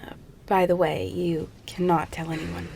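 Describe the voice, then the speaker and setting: A woman playing an earnest scientist giving a briefing, measured and explanatory, in an audio drama. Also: fairly low pitch, flat delivery, gravelly, a little mellow, a little hiss or hum.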